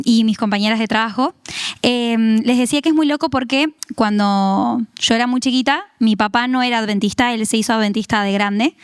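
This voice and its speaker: A young Argentine woman with a Tucumán lilt talks about her life in a relaxed, chatty way, laughing easily and using many fillers. Her voice sits a little high and comes across smooth and resonant. The sound is close and dry. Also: no room sound. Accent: Tucumán Argentine Spanish. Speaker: guest giving testimony. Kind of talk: casual testimony interview.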